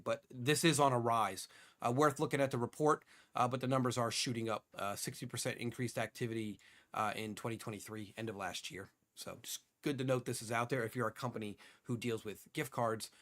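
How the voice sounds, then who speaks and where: A hoarse man hosting a livestream, talking through security news in a casual, rambling way with plenty of fillers. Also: medium pitch, fairly bright tone. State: hoarse from being sick. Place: home studio, lives in Singapore.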